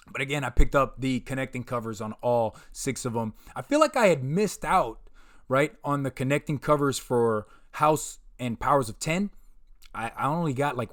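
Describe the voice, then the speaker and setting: A man's voice: a little high, a bit bright. A man hosting a live comic review stream in casual chat, laid-back and slangy, often stumbling and repeating words. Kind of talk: casual livestream chat, reading viewer comments.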